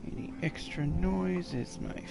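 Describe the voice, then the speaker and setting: A man's voice: sits high, steady tone, unhurried, gravelly, background noise. A man muttering casual commentary to himself while he plays a video game at home. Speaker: game streamer. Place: home room with a fan. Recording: loud game music behind.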